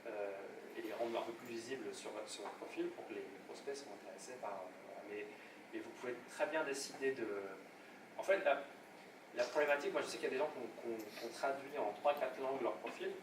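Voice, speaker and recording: Fast-talking man in echoey room. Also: mid-range voice. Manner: rapid, hesitant, many restarts. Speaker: workshop speaker on LinkedIn. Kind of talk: live workshop talk. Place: meeting room at a club. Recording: echoey room, distant mic, noisy.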